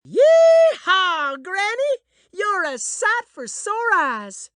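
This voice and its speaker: A woman's voice: very high-pitched, wildly swooping pitch, extremely slow, fairly bright tone, no room sound, clean studio sound, exaggerated voice. A woman voicing a plucky little cowgirl granddaughter in a children's cartoon, folksy and exuberant with an American Western accent. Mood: curious, excited. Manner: folksy, exuberant cowboy twang. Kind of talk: children's cartoon fairy tale acting.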